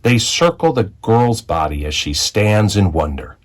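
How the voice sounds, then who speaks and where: Male storyteller, fairly low pitch, animated intonation, expressive picture book read-aloud, deliberate phrasing.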